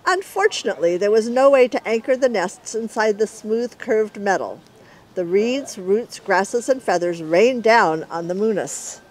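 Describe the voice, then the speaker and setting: Middle-aged woman, expressive storybook read-aloud. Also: mid-range voice, measured pace, low-quality recording. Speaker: author reading aloud.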